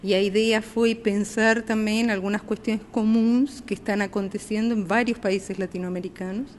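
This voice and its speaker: A woman's voice: mid-range voice, steady tone, moderate pace, a little hiss or hum. Argentine woman speaking accented Portuguese. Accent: Argentine Spanish. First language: Spanish. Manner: hesitant, searching for words with many fillers. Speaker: teacher, group facilitator. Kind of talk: interview answer.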